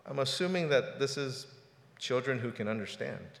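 A man's voice: mid-range voice, lively intonation, normal speed, creaky, fairly bright tone. A pastor in his forties preaching a sermon in a casual, conversational way, often repeating words as he talks. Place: church sanctuary. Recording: roomy hall reverb.

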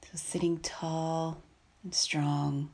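Slow, low-voiced woman guiding meditation. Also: slightly rough. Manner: calm, unhurried, measured with long pauses. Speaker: meditation guide. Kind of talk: guided meditation, slow and spaced phrases.

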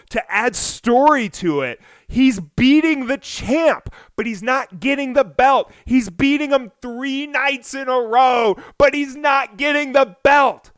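A man hosting a wrestling podcast, telling a story in a casual, energetic way, with self-deprecating asides and near shouts when he gets excited. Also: high-pitched, dry recording, studio quality. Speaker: podcast host.

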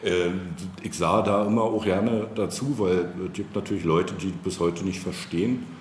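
A middle-aged man from East Germany giving reflective, conversational interview answers as a local politician, halting and searching for words. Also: sits low. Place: indoor room, face-to-face over coffee. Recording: echoey room with faint background noise.

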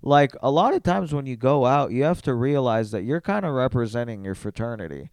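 A man's voice: medium pitch, lively intonation, fairly quick, a little mellow, smooth, no echo, clean studio sound. A man chatting casually as a podcast guest, blunt and slangy, swearing freely.

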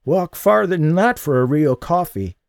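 List: croaky old man, frail, slow and effortful, wry and stubborn, put on by a male narrator, dry audiobook. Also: a little high, expressive, dry recording, voice pushed up.

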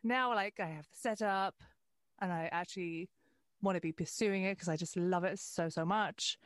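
A woman's voice: on the low side, moderate pace, no echo, clean studio sound. A woman new to voiceover chatting casually, enthusiastic and rambling. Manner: enthusiastic, rambling with fillers and restarts. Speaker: voiceover newcomer. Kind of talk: casual podcast chat.

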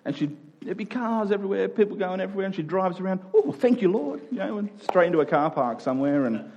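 A man's voice: sits high, animated, quick pace, a little mellow, a little hiss or hum. A man preaching a conversational sermon, earnest, repeating key phrases for emphasis.

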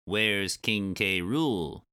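A man's voice: fairly low pitch, extremely slow, bright, forceful tone, clean tone, no echo, studio quality, full character voice. A man voicing a giant, resentful bumblebee henchman who complains, in a storybook. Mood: disgruntled.

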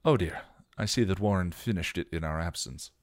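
A man's voice: sits low, fairly quick, no room sound, clean studio sound, lightly acted. A man voicing a fussy, stammering middle-aged mentor in a read-aloud story. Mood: flustered. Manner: flustered, stammering.

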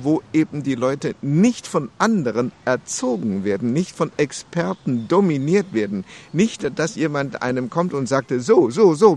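A man's voice: mid-range voice, darkish tone, slight background noise. A man from China giving an emphatic, reflective interview and punctuating his points with rhetorical questions. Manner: emphatic, rhetorical, with repeated tag "ja?".

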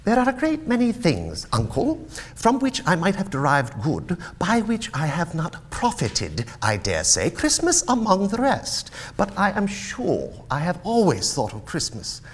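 A man voicing a miser's cheerful, earnest young nephew in a storybook reading, exclaiming and pleading warm-heartedly. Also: sits high, wildly swooping pitch, unhurried, slightly rough, pitched above the performer's own voice, heavily acted. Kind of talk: storybook character voice in dramatic reading.

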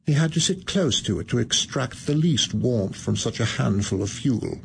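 A man narrating a radio play in a measured, evocative, deliberate way. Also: medium pitch, animated, vocal fry, slight background noise. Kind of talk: dramatic storytelling narration.

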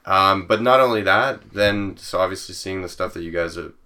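A man's voice: low-pitched, moderate pace, bright, forceful tone. A man hosting a podcast interview in a casual, rambling way, full of fillers and restarts. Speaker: podcast host.

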